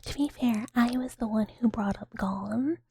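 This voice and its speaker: A young woman hosting an ASMR stream, whispering close to the mic in a breathy, giggly, self-mocking way. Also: a little high, normal speed, dark tone. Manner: giggly, self-mocking, hesitant with many ums. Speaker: livestream ASMR host.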